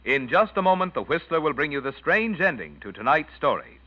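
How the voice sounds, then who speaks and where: Male announcer, highish swooping voice, folksy sales pitch, 1940s radio, dull dry recording. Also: sing-song, brisk, no room sound, soft background music. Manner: folksy, persuasive. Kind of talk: 1940s radio commercial read.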